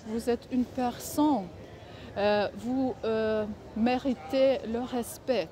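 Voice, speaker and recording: A woman speaking French that is not her native language, giving casual advice in a vlog, halting often to search for words. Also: a little high, steady tone, dark tone. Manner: halting, many filler pauses, searching for words. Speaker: vlogger.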